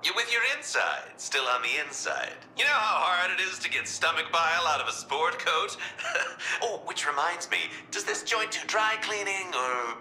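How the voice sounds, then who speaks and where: Game villain role: mocking young psychotic clown, high sharp voice that swings wildly. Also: male voice, sing-song, moderate pace, slight background noise, full character voice. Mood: taunting. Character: flamboyant, gleefully sinister younger male clown villain.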